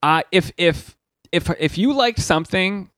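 A man's voice: high voice, a little slow, dry recording. A man in his thirties hosting a podcast, telling crude, rambling stories in a casual comedic chat. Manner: crude, rambling, animated storytelling. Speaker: podcast host.

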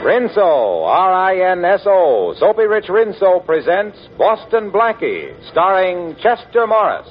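Vintage radio announcer, male, lightly raised pitch, very lively intonation, selling from a script, muffled old recording. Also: unhurried, hardly any pauses, over music.

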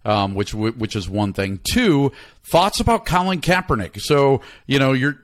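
A man's voice: medium pitch, animated, a little slow, dry recording. A middle-aged man co-hosting a podcast, talking casually and often repeating words as he starts a sentence. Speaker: podcast co-host. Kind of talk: casual chat.

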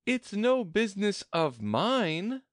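A man's voice: high voice, big pitch swings, slow pace, dry recording, studio quality, pitched above the performer's own voice, heavily acted. A man voicing a polite but bold and decided little girl in a storybook.

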